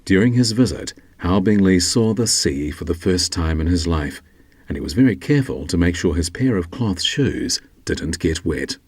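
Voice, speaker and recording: A man's voice: deep voice, expressive, moderate pace, a little mellow, husky, no echo. A man reading scripted documentary narration as the narrator.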